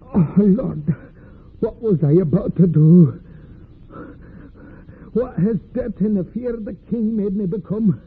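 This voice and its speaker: An actor playing an old, pious farmer, stern yet anxious, with creaky archaic speech in old radio drama style. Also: male voice, high-pitched, a little slow, pauses often, exaggerated voice. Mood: anguished. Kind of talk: 1940s radio acting. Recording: tinny, noisy old radio recording.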